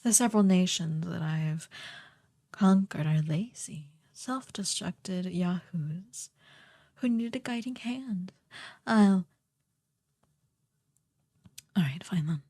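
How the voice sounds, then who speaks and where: A woman playing a haughty, sardonic evil sorceress queen in a soft, breathy, imperious voice for a fantasy ASMR roleplay. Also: on the low side, animated, exaggerated voice. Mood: haughty exasperation. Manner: imperious, theatrically disdainful, drawn-out delivery.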